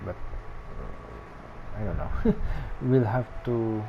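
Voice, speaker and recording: Slow-talking woman from the Philippines, casual game commentator, muffled mic over loud music. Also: very deep voice, big pitch swings, creaky, background noise. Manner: murmured asides and short reactions. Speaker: gameplay commentator.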